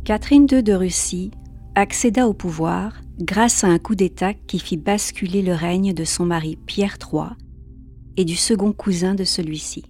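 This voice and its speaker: A woman reading a calm, scripted narration for a sleep podcast. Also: medium pitch, moderate pace, soft background music.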